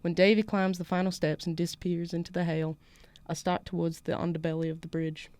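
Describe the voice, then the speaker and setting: A woman's voice: on the low side, little pitch movement, a little fast, a little mellow, no echo, close to an everyday voice. A woman voicing a defiant small-town schoolgirl in a put-on Southern US accent, narrating a first-person story. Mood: wry, defiant. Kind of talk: first-person literary fiction read aloud.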